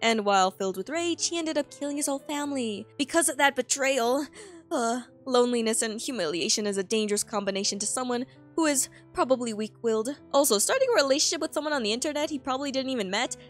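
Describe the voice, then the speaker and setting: A woman's voice: sits high, animated, quick pace. A woman narrating a scripted horror video recap as its host, with informal, slangy asides. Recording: music bed under the voice. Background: piano and eerie background music.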